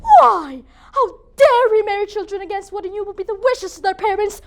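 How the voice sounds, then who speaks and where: A woman's voice: high voice, animated, unhurried, darkish tone. A woman performing a dramatic monologue as a grieving, bitter, proud young noblewoman mother, with an emotional theatrical delivery. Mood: accusing, outraged. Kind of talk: dramatic monologue for speech competition.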